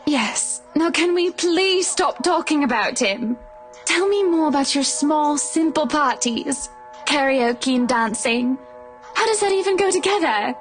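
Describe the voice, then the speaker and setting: A woman's voice: sits high, expressive, a little slow. An actress playing a poised, wry young married woman in a visual novel, politely spoken but ready to swear. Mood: composed, firm. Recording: music playing underneath. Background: game music.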